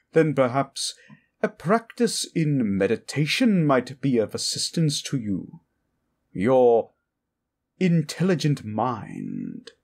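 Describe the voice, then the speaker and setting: Casting: silky, taunting elder sorcerer, unhurried and highly melodic, words trailing off into a hush, fantasy roleplay. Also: male voice, creaky, exaggerated voice. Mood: mocking. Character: smug old powerful male sorcerer, silkily taunting. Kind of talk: fantasy audio roleplay, improvised.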